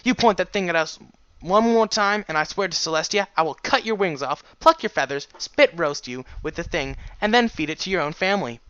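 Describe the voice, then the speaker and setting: A man's voice: high voice, lively intonation, fairly quick, sharp tone, smooth, dry recording, lo-fi sound, pitched above the performer's own voice. A man voicing a feisty, protective female pony with an angry, threatening manner in a storybook reading. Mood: furious.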